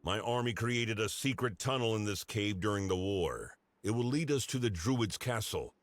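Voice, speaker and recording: Man acting as deep-voiced devoted warrior father. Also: expressive, normal speed, dry recording, lightly acted. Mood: determined. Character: grave, devoted father, freed werewolf and army commander. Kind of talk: scripted video game character dialogue.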